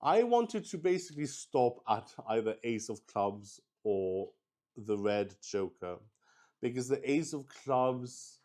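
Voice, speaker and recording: A man improvising narration for a solo tabletop roleplaying game as its host, halting as he thinks aloud. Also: medium pitch, expressive, a little mellow.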